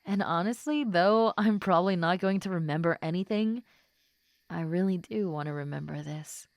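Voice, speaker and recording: A woman playing a tipsy, giddy, lovestruck schoolgirl in an intimate roleplay audio drama, with soft murmurs and a drawn-out, affectionate delivery. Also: lowish voice, no echo, clean studio sound, natural voice. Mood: earnest, tender.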